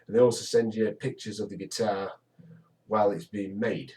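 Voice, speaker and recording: A man's voice: lowish voice, fairly even intonation, normal speed, mellow. A young British man from the UK casually reviewing a guitar he bought, talking in a rambling, hesitant way full of restarts. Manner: rambling, hesitant, self-correcting. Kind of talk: casual product review vlog. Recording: voice a bit far from the mic.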